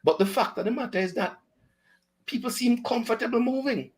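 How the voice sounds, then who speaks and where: A man's voice: very high voice, brisk. Chatty Jamaican man hosting a show. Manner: chatty, mixes patois into speech, laughs often. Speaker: radio show host. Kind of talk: casual chat.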